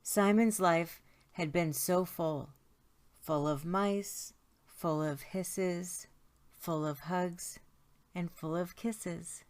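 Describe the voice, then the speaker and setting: A woman narrating a children's picture book aloud in an expressive storytime style, stretching words for emphasis. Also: lowish voice, very slow. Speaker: storytime host reading aloud.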